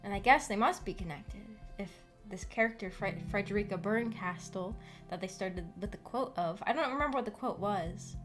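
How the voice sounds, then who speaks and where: A woman hosting a book club livestream, chatting casually and haltingly with her audience, often giggly. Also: medium pitch, fairly bright tone, faint music behind. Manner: giggly, breaks into small laughs often. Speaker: host of a visual novel book club stream. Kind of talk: casual livestream discussion with chat.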